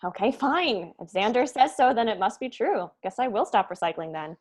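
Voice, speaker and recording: A woman's voice: fairly high pitch, lively intonation, moderate pace, a little mellow. A woman playing a brainy, earnest young college student in a comedy table read. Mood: eager.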